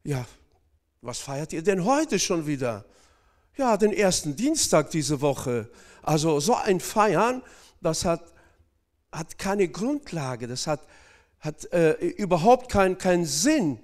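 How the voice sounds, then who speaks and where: Guy from northern kazakhstan preaching slow, lots of ums, fairly high voice, talks right to the church. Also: very animated. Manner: hesitant, many fillers, addresses congregation directly. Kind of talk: sermon.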